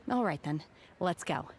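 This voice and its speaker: A woman voicing a calm, aloof young female recluse in a video game, reserved and measured. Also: deep voice, a little hiss or hum. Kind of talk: video game character acting.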